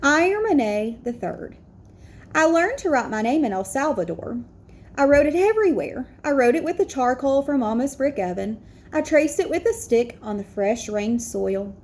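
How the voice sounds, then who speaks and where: A woman voicing a proud, earnest schoolboy in an expressive picture-book read-aloud. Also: high voice. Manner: expressive, deliberate storytelling. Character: proud, earnest young schoolboy narrating his story.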